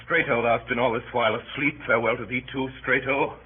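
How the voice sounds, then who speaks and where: An actor playing a noble, weary defeated Roman general in solemn, measured, declamatory radio Shakespeare. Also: male voice, medium pitch, steady tone, breathy edge. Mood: proud farewell. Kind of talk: 1930s radio Shakespeare acting. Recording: scratchy, muffled 1930s radio broadcast.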